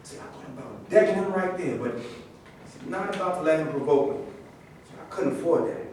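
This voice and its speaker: A man's voice: on the high side, lively intonation, fairly quick, darkish tone, natural voice. A man in a staged reading, playing a proud, indignant former football star recounting his grievances. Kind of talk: staged reading of a play, dramatic monologue. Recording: echoey room from far off, faint noise.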